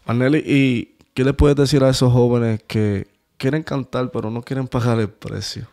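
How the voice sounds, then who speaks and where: Male faith-podcast host with a mellow tone; interviews the guest and affirms often. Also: mid-range voice, steady tone, normal speed. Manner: affirming with frequent amens.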